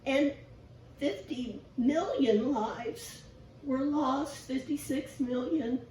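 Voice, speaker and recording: Squeaky, raspy woman preaching slowly. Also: warm tone, recorded from a distance. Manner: chatty, self-deprecating, asks the congregation questions. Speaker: lay preacher. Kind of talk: informal sermon. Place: church sanctuary. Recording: echoey church from afar, faint hiss.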